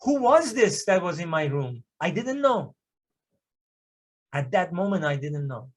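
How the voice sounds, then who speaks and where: A man's voice: fairly high pitch, very animated, measured pace. A middle-aged man from Iran, a native Persian speaker, giving an earnest personal testimony at a church service, with emphatic swells as he tells his story.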